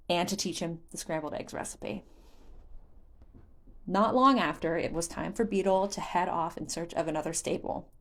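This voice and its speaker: Woman reading a fantasy story audiobook style, voice a bit on the low side. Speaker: audiobook narrator. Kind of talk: scripted fan fiction narration, storytelling.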